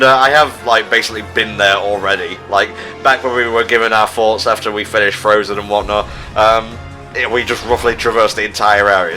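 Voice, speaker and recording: A man's voice: lowish voice, sharp tone. A man giving casual commentary as host of a video game playthrough. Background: game music.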